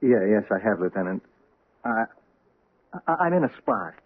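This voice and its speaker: A stammering, nervous cheating husband. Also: male voice, fairly low pitch, very animated, normal speed, breathy edge. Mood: squirming. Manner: stammering, evasive. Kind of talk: 1970s radio drama acting. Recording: tinny lo-fi vintage radio recording.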